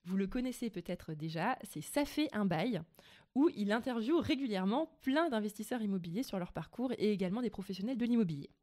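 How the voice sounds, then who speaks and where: A woman's voice: mid-range voice, lively intonation, fairly quick, a little mellow. A woman hosting a podcast, introducing her guest and asking questions in a friendly, upbeat way. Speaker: podcast host.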